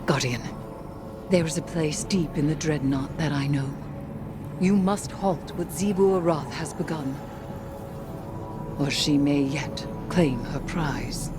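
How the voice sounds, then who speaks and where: A low-voiced, slow-speaking dark sorceress talks with grave resolve over music. She is solemn, proud and formal, in the manner of dramatic video game dialogue. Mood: grave, resolute.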